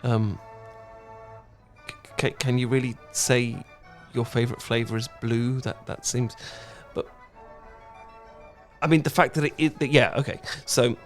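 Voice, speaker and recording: A British man playing an awkward, flustered, dorky young man in a romantic audio roleplay, stammering, halting and apologetic as he rambles. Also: mid-range voice, fairly even intonation, soft background music, natural voice. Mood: rambling, apologetic. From Britain.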